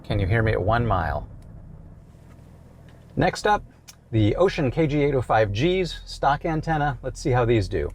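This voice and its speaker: A man's voice: medium pitch, sing-song, normal speed, long pauses. A man presenting a product range test in a casual, conversational way from inside a car.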